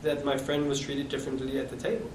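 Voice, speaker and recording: A man's voice: mid-range voice, little pitch movement, a little mellow. Reflective South African man, roomy echo. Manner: reflective, halting with restarts. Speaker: interviewee. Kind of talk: oral history interview. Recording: echoey room, distant mic, noisy.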